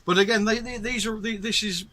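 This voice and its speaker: A man's voice: sits high, steady tone, a little fast, pushed and bright. A middle-aged man from the north of England with a Northern English accent, chatting patiently to viewers as he explains his gear. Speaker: host. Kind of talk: casual show-and-tell demonstration.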